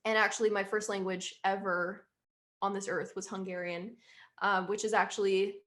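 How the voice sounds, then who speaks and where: A woman's voice: mid-range voice, level tone, normal speed, a bit bright. A young woman musician chatting casually in an interview, rambling and quoting herself often.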